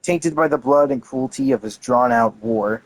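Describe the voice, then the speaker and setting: Male gamer, mid-pitched voice, reading lore aloud with casual, enthusiastic commentary. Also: normal speed, low-quality recording. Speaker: gaming content creator.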